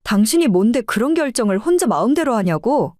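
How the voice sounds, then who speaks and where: A woman's voice: on the high side, sing-song, a little fast, a little mellow, no room sound, studio quality. A woman playing a defiant, hard-nosed middle-aged wife in an audio drama, blunt and confrontational. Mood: angry.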